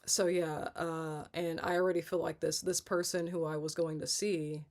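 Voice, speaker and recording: A congested woman in her thirties talking candidly in a personal vlog, halting and rambling, often restarting and trailing off. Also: low voice, level tone, a little mellow. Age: 30s. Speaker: vlogger.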